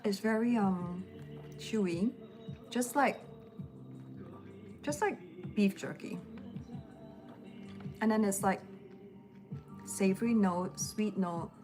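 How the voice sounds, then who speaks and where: A woman's voice: mid-range voice, slow pace, long pauses. Chatty woman livestreaming over lo-fi music. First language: English. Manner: relaxed, rambling chatter while packing and snacking. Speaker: home cook livestreamer. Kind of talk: casual live-stream chat. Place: home, indoors. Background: lo-fi background music.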